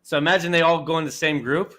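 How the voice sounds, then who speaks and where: A man's voice: on the high side, fairly even intonation, fast, sharp tone. A young Canadian man hosting a casual sports chat show, talking in loose rambling restarts with easy laughs.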